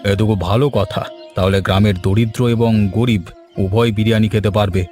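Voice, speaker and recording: A man performs an old village elder in a cartoon moral tale, pitched well down. He is genial and familiar, fond of teasing younger folk. Faint music plays behind him. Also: steady tone, brisk, darkish tone, close to an everyday voice. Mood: genial, inquisitive. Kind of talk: Bengali cartoon story voice acting.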